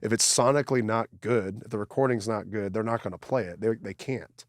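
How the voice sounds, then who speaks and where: A congested man with a fairly low voice rambles through his stories. He stammers and restarts his sentences often. The sound is dry and close. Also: brisk, no echo. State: congested from a cold. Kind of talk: casual podcast conversation.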